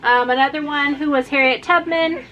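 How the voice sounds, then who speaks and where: A woman's voice: high voice, fairly even intonation, moderate pace, dull audio, a little hiss or hum. A woman talking in a casual, informal vlog style as a homeschooling mother presenting books. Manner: informal, often breaks off to talk to her small children.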